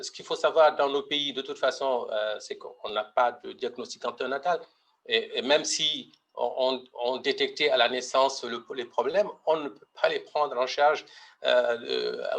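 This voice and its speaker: Hesitant man with a gravelly voice. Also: medium pitch, fairly even intonation, a little slow, fairly bright tone. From Mauritania. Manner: hesitant, many fillers and restarts. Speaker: pediatric heart surgeon presenting. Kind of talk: medical webinar lecture.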